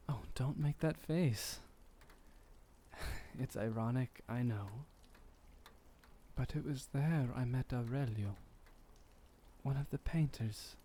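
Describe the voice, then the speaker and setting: A man playing a gentle, doting, centuries-old vampire boyfriend, speaking softly and tenderly with slightly old-fashioned formality in an intimate ASMR roleplay. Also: medium pitch, a little hiss or hum, close to an everyday voice. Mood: playful, hushing. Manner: soft, unhurried, tender, lightly formal old-fashioned phrasing.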